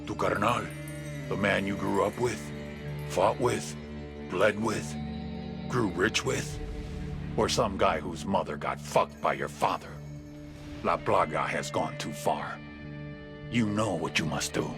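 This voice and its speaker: Deep, gravelly, menacing cartel boss. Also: male voice, over music. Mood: menacing, persuasive. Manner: deliberate, rhetorical, menacing. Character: cold, menacing cartel boss, commanding and calculating.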